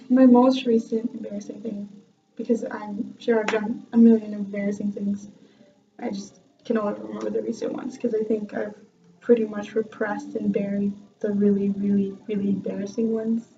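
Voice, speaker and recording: A woman's voice: mid-range voice, flat delivery, moderate pace, over music. Laughing young woman, dull distant sound. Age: young adult. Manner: chatty, self-mocking, laughs often. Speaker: vlogger and music student. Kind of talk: casual storytelling vlog.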